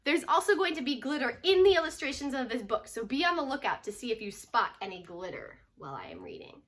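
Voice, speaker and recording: A woman's voice: high-pitched, quick pace. A woman reading a children's storybook aloud as a teacher would, expressively, leaning on key words. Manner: expressive, stresses repeated words, asks listeners questions.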